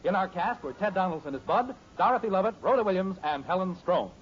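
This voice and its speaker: A man's voice: sits high, expressive, quick pace, husky. An American man announcing in scripted 1950s radio style. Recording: dull old radio recording.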